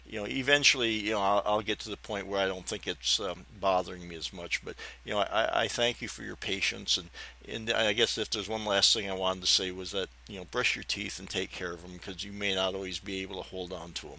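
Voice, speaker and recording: A man around fifty with an American accent and a lowish, bright, forward voice. He reminisces in a folksy, rambling way, halting often, and his speech carries a slight whistling lisp. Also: fairly even intonation, creaky, poor audio. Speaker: podcast host. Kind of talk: reminiscing personal storytelling.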